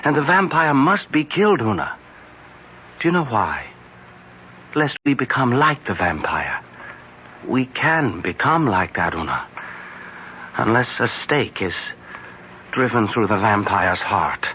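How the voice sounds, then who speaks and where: A man playing a grave, urgent villager in old-time radio drama, with an ominous, deliberate, theatrical delivery. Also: mid-range voice, very animated, sharp tone. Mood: ominous, insistent. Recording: muffled, noisy old radio recording.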